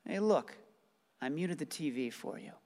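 A man's voice: fairly high pitch, lively intonation, moderate pace, warm tone, close to an everyday voice. A man plays a mild, uneasy young husband in a radio play. The delivery is conversational. It carries in a long hall reverb. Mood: puzzled, teasing.

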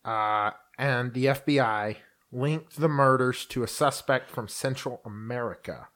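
A man co-hosting a podcast, telling a true-crime story in casual chat, slowly and haltingly with many fillers. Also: mid-range voice, a bit bright. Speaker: podcast co-host.

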